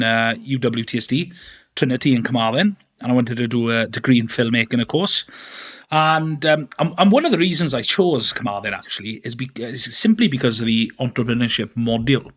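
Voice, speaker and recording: A man in his thirties, speaking at an ordinary middle pitch for a man. He talks as an interview guest, chatty and rambling, full of fillers and restarts as he tells his own story. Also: a bit bright. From Wales. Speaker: podcast guest.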